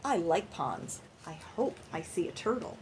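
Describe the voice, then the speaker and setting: A woman's voice: fairly low pitch, very animated, unhurried, noisy background. A woman voicing a curious, eager young boy from a children's picture book. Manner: eager, inquisitive.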